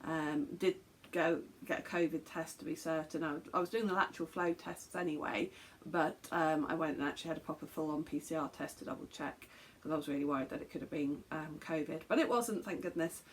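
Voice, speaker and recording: A woman's voice: low voice, brisk, slight background noise. A woman in her fifties with an English accent, hosting a knitting podcast in casual chat, rambling with many fillers and self-corrections while talking to a camera in a small room at home. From England. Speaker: podcast host. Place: small bedroom craft room, indoors.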